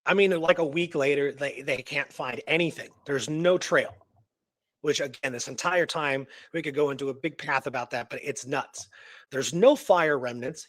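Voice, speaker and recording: A man's voice: mid-range voice, fairly bright tone, dry recording. A man telling a history story in a casual, rambling way, repeating words for emphasis. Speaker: co-host telling a true crime history.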